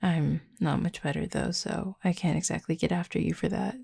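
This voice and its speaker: Woman voicing a husky, flirty werewolf, deeply gravelly. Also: fairly even intonation, mellow, full character voice. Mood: flirty teasing. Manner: dry, teasing, unhurried, wordy. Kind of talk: intimate audio roleplay, late-night chat.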